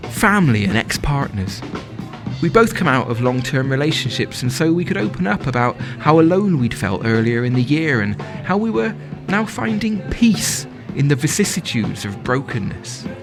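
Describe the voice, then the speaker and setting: A smitten young man at a festival, telling his story in a confiding, wry way. His pitch swings widely from line to line, and prominent music plays behind him. He sounds hedonistic yet unsure of himself, in the manner of an audio drama monologue. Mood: tender, wistful. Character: smitten, self-doubting, hedonistic young male festival-goer. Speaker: actor performing a monologue.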